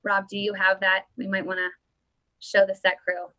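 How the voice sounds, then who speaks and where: A woman's voice: medium pitch, monotone, normal speed, pushed and bright, dry recording. A woman in her thirties hosting a casual political commentary show on a webcam, rambling with many restarts. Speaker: host.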